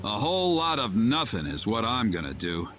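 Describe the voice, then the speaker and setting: A man's voice: on the low side, sing-song, normal speed, music in the background. A man voicing a laid-back, wry sea captain who gives advice in a video game. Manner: casual, wry and talkative. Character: laid-back middle-aged male ship captain. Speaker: ship captain.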